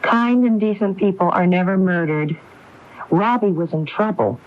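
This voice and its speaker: An actress playing a determined, anguished widow in a radio mystery drama, speaking in an insistent, probing way. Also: female voice, mid-range voice, moderate pace, natural voice. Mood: grave, probing. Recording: dull lo-fi AM radio broadcast recording.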